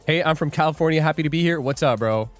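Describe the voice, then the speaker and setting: A man's voice: fairly high pitch, fast, soft background music. A young man hosting a live trading stream, joking and rambling as he reads viewer comments aloud. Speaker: livestream day trader host. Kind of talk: casual livestream chat.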